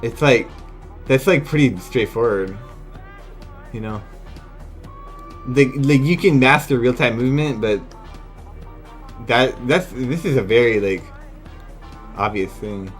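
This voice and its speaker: A man's voice: mid-range voice, slow pace, pauses often, soft background music. A man giving casual, incredulous live commentary as a video game streamer, exclaiming and swearing freely.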